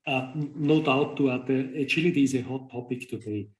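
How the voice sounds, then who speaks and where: A middle-aged man hosting a podcast interview, speaking in a measured, semi-scripted way with hesitant pauses. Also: mid-range voice, darkish tone. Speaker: podcast host, consultant. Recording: roomy, echoey sound.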